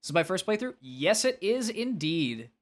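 A man's voice: high voice, big pitch swings, moderate pace. A man with a nasal voice, talking casually and expressively as he livestreams a game and answers chat, often self-deprecating.

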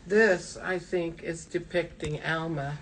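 A woman's voice: lowish voice, fairly even intonation, takes it slowly, a little hiss or hum, low-quality recording. A woman walking with the host, hesitantly explaining church artwork, picked up off to the side of his phone. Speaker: tour companion. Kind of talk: casual explanations off-mic.

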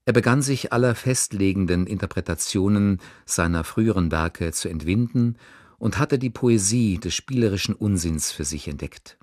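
A deep-voiced man announces a radio programme in a measured, formal manner. The sound is close and completely dry. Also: lively intonation, darkish tone, no echo. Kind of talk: scripted broadcast introduction.

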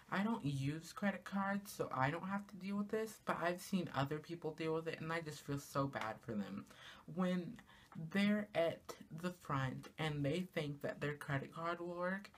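A teenage girl vlogging from her small bedroom, talking casually to the camera and ranting in an exasperated way, her pitch jumping up on rhetorical questions. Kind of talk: casual comedic rant to camera. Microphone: camera in front of her, at arm's length.

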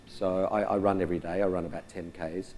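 A middle-aged man on a conference panel, talking in a relaxed, hesitant way with many fillers. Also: low-pitched, a little fast, slight background noise. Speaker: resort general manager, panelist. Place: large conference hall stage.

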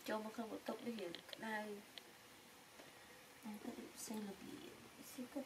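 A woman's voice: medium pitch, little pitch movement, fairly quick. A young woman talking casually to pet animals in a coaxing way, repeating short words. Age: young adult. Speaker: pet monkey caretaker. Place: small indoor room, on a bed. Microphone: camera mic a little way off. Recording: distant mic with clear background noise.

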